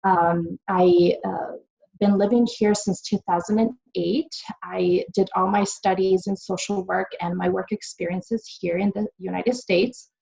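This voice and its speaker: A woman from Italy, giving a webinar presentation over a video call and filling pauses with frequent ums and uhs. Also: mid-range voice, steady tone, creaky, dark tone, breathy edge. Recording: dull lo-fi video call audio.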